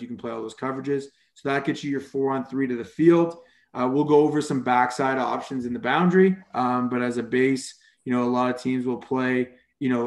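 A man presents a football coaching clinic over a video call and walks through defensive schemes. His tone is casual and explanatory, full of small fillers. His voice sits in the middle of the range. The room around him sounds noticeably echoey. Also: medium pitch. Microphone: video call microphone.

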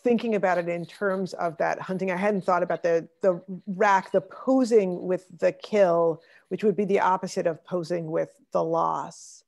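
A woman's voice: on the low side, steady tone, moderate pace, gravelly, few pauses, darkish tone. A woman leading an online art discussion, calling on participants and reflecting on their comments over a video call. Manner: thoughtful, calls on participants by name. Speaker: discussion leader.

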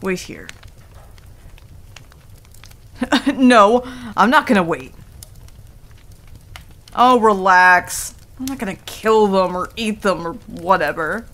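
A woman's voice: medium pitch, slow, pauses often, a bit bright. A woman playing a stubborn, easily flustered female werewolf who stammers defensively, in an ASMR roleplay. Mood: angry, mischievous. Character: flustered, stubborn tsundere female werewolf, young, secretly affectionate.

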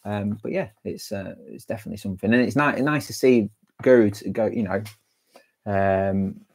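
Chatty young man, low voice. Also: normal speed, darkish tone. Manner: chatty, rambling, many fillers and restarts. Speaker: angling channel host. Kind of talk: live-stream chat reading viewer comments. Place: small indoor room with a branded backdrop. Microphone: wired earphone headset mic.

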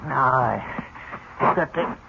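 A man's voice: medium pitch, very slow, creaky. An actor playing a nervous, apologetic handyman turned reluctant killer in a 1970s radio drama, hesitant and deferential. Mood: distraught, straining. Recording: noisy, dull vintage radio broadcast recording.

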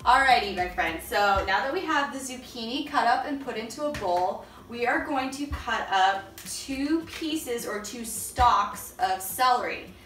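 Slow, bright-voiced woman teaching cooking. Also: a little high, lively intonation, echoey room, soft background music. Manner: friendly, step-by-step instructing. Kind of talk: kids' cooking lesson. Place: home kitchen, indoors.

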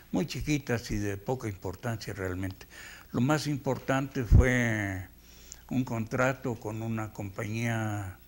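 An elderly man with a Mexican accent recalls his working life in an interview. He is reflective and halting, pausing to search for the next word. He sounds like a veteran telling his story at his own speed. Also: mid-range voice. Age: 80s. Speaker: veteran animator being interviewed. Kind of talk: reminiscing interview.

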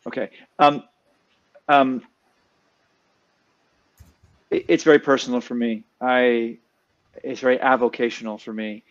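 Halting reflective man on a dull dry call. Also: medium pitch, steady tone, dry recording. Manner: reflective, halting, many fillers. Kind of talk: panel discussion on a video call.

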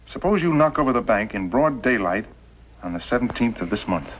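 An actor playing a bitter, cunning outlaw mastermind in a 1950s television western. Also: male voice, medium pitch, animated, brisk, natural voice. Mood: cold scheming. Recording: muffled, noisy old television soundtrack.

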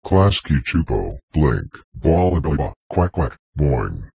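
A synthetic male voice playing a robotic talking logo creature, gravelly and distorted by a pitched-down effect, chanting slowly. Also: very animated, warm tone, dry recording, muffled recording, exaggerated voice. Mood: monotone chant. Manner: slow, chanting nonsense words. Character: robotic talking splat-face logo, deep and monstrous.

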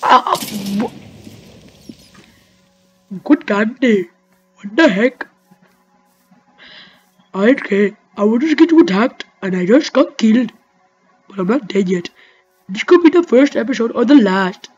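A woman giving rambling, excitable let's-play commentary over her gameplay, talking straight to her viewers. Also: on the high side, a little slow, long pauses, breathy edge. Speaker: gaming commentator. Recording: dull lo-fi mic with faint music.

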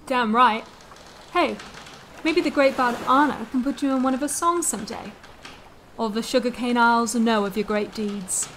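A woman's voice: fairly high pitch, moderate pace, fairly bright tone, a little hiss or hum. An actress playing a plain-spoken, salty but sincere young commoner woman in a fantasy audio drama. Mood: fierce, teasing. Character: blunt, foul-mouthed young commoner woman, warm-hearted.

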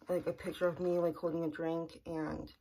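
A woman's voice: on the low side, level tone, moderate pace, warm tone, slightly rough, poor audio. A young woman talking earnestly and plainly to the camera in a casual personal vlog from a small bedroom. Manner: earnest, repetitive, plain-spoken. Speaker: vlogger. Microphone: camera in front at close range.

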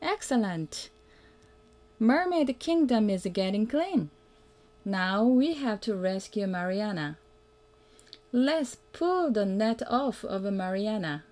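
A woman reading a children's picture book aloud as a mother would, in a simple, deliberate way that invites the young listener to join in. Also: medium pitch, expressive, a little mellow. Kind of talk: read-aloud children's storytelling.

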